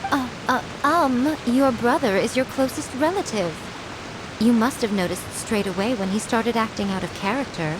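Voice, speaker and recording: A woman voicing a sly, elegant fox-spirit priestess in a video game, poised and teasing with cool menace, over a clear hiss. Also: on the high side, normal speed, close to an everyday voice. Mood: coolly menacing.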